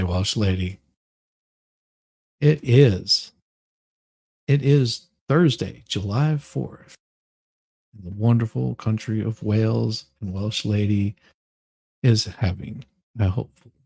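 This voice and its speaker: A man hosting a livestream, moving between casual chat and mock-dramatic readings with theatrical, drawn-out emphasis. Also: on the low side, gravelly, warm tone, breathy edge. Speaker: livestream host.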